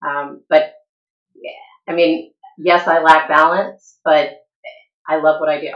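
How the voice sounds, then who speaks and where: A woman guest talking candidly in an interview, laughing often and pausing with "um" between thoughts. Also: deep voice, level tone. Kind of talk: casual interview talk. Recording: echoey room, dull lo-fi sound.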